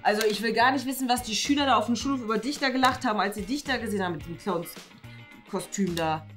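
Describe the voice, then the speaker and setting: A disapproving, strict teacher, played by a woman in a comedy sketch. She rattles on quickly in a slightly high voice, nagging and indignant. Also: faint music behind, close to an everyday voice. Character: grumpy, strict female teacher, disapproving killjoy. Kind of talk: improvised comedy sketch acting.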